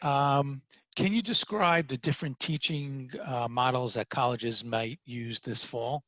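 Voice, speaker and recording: A man's voice: mid-range voice, fairly even intonation, measured pace, fairly bright tone, dry recording, dull audio. A man with a Brooklyn accent hosting an interview, reading an introduction with many fillers. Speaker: host. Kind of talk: interview host.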